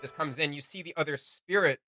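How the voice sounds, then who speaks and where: A man's voice: on the high side, brisk, bright, forceful tone, soft background music. A man hosting a casual reaction video, talking as an enthusiastic fan who gushes over what he is reacting to. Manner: enthusiastic, gushing.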